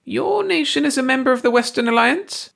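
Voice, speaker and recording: Squeaky shrieking alien, very high voice, fretful, agitated, storybook figure. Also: male voice, lively intonation, a little fast, vocal fry, a bit bright, exaggerated voice. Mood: ranting. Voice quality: shrill, squeaky. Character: shrill excitable male alien scientist, fretful and ranting.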